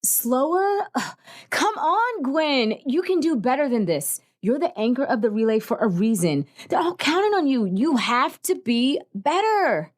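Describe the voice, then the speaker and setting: Lady as intense teen athlete girl, hard on herself, high lively voice. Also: a little slow, full character voice. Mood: self-scolding. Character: driven, self-critical teenage girl athlete. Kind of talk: audio drama acting.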